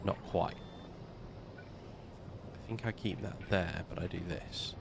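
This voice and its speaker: Slow gravelly man with a snuffly cold. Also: deep voice, noisy background. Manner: slow, muttering to himself while building. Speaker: game streamer. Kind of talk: casual gameplay commentary.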